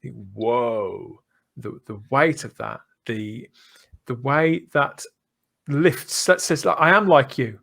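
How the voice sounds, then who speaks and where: A middle-aged man with a mid-pitched voice, talking as a podcast host. He is effusive and emphatic, wandering through his points in a casual fan-to-fan chat. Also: takes it slowly, creaky, fairly bright tone. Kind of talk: casual enthusiastic fan discussion.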